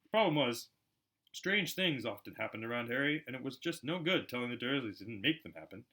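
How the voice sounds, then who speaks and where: A man narrating a storybook aloud in an expressive, dramatic way, as an amateur audiobook reader. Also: medium pitch, brisk. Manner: animated, dramatic.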